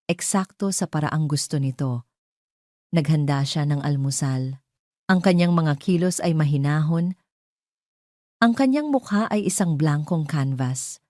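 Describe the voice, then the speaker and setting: A Filipino woman narrates a scripted audio drama. Her voice sits low and has a dark, mellow tone. The sound is dry, with hardly any room in it. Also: no room sound.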